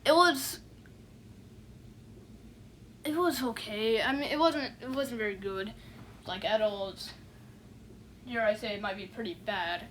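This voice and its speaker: A woman's voice: a little high, unhurried, long pauses, a bit bright, slight background noise. Rambling, unimpressed woman reviewer. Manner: rambling, heavy fillers, repeats "you know what I'm saying"; dismissive, unimpressed. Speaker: online reviewer. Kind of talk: casual spoken review.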